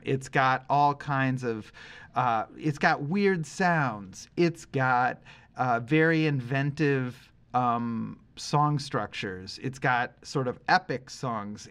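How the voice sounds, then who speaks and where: Man in his forties, mid-pitched voice, casual podcast host, rambling, opinionated, emphatic. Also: a bit bright, smooth. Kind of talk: casual conversational music discussion.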